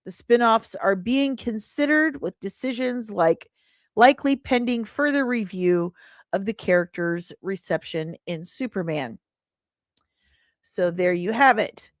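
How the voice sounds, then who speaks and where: A woman's voice: medium pitch, a little slow, dry recording, clean studio sound. A woman hosting a casual entertainment livestream, chatting playfully and teasingly, often repeating phrases for emphasis. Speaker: livestream host. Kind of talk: casual livestream chat about entertainment news.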